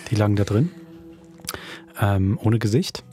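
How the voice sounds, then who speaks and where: Male podcast host, lower-pitched voice, conversational storyteller. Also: animated, a little slow, over music. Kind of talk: podcast introduction and conversational anecdote.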